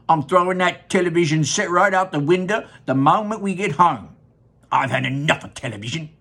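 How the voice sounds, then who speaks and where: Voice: mid-range voice, animated, brisk, a bit bright, voice pushed up. A storybook reader voicing a blunt, exasperated middle-aged father in a near-natural voice.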